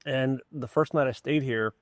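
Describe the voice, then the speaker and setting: Guy from poland doing a casual interview, upbeat and informal, average pitch, really dry sound. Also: moderate pace, no echo. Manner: enthusiastic, informal. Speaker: orchestra music director, interview guest.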